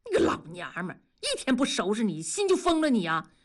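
Woman playing a rough crude husband, gruff and blustering, radio drama. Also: a little high, huge pitch swings, fairly quick, voice pushed up. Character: rough working-class husband, crude and sullen.

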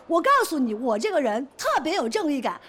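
An actress playing a pushy, flirtatious shop owner in a screen drama, insistent, teasing and quick to take offence. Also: female voice, on the high side, big pitch swings, breathy edge. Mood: indignant. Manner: insistent, teasing, indignant, theatrical. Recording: noticeable background noise and ambience.

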